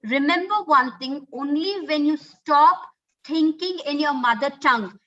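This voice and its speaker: Bright-voiced Indian English woman lecturing. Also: high voice, measured pace. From Karnataka, India. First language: Kannada. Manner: earnest, instructive, many fillers like "uh" and "okay". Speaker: webinar speaker on communication skills. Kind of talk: online lecture. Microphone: computer mic on a video call. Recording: dull lo-fi webinar audio.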